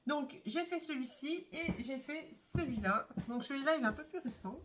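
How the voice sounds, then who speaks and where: A woman with a cold showing her scrapbook albums in a casual, chatty way, with many fillers and pauses. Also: high voice, expressive, fairly bright tone, a little hiss or hum. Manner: chatty, hesitant, many fillers and "hop, voilà". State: has a cold, congested. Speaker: scrapbooking vlogger. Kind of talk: casual show-and-tell craft video.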